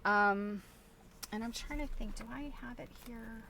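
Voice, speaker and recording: A woman's voice: a little high, a little slow, pushed and bright, a little hiss or hum. A middle-aged woman chatting casually as she shows things to viewers, thinking aloud in a rambling, chuckly way. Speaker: host of a quilting show. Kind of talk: casual show-and-tell chat.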